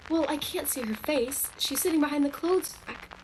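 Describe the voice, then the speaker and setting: A woman's voice: high voice, normal speed, slight background noise, natural voice, poor audio. A woman playing a kindly, inquisitive shop coworker and confidant in a radio play, with a soothing manner. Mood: watchful, curious. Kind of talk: virtual radio play acting.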